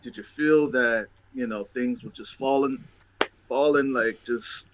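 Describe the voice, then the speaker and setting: A man's voice: mid-range voice, measured pace, noisy background. A man hosting an interview show, asking probing, sympathetic questions in a casual chat. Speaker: show host. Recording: dull lo-fi audio.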